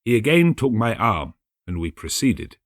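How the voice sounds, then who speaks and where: A man narrating a literary story as an audiobook, in a measured, precise and theatrical way. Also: on the low side, big pitch swings, no room sound, clean studio sound. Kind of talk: dramatic scripted literary narration.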